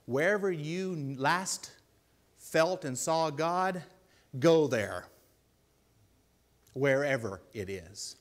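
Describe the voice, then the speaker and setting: A man with a deep voice preaching a sermon in a church, earnest and pleading, with loud emphatic bursts. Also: animated, extremely slow, long pauses. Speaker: lay preacher.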